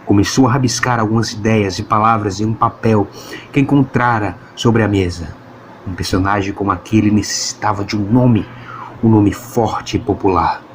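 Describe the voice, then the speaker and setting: A low-voiced man with a Brazilian accent narrates an audiobook story in a dramatic scripted style. Clear background noise and ambience sit behind his voice. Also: moderate pace.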